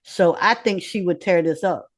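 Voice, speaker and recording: A woman's voice: lowish voice, moderate pace. A woman in her fifties, a recording artist, talking bluntly and with lots of swearing as a guest in a casual interview. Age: 50s. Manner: blunt, swearing, streetwise and animated. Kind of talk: candid casual interview talk.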